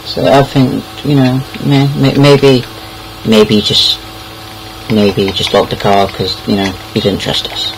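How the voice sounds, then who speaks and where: A man's voice: fairly low pitch, level tone, takes it slowly, background noise. A young British man casually telling anecdotes in a rambling, hesitant way, repeating words as he goes. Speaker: online storyteller.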